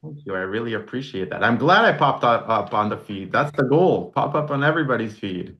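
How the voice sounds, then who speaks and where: A man's voice: medium pitch, expressive, a little fast, muffled recording. A man in his forties, born in Canada, chatting casually as a podcast guest, rambling with fillers and restarts. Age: 40s. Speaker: podcast guest, brand founder. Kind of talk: casual interview chat.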